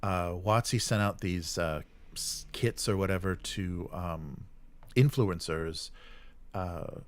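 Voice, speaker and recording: A man hosting a casual tabletop gaming livestream, musing and commenting in a rambling, halting way. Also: low-pitched. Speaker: host of a live tabletop gaming stream. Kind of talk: casual live commentary with reading of chat comments.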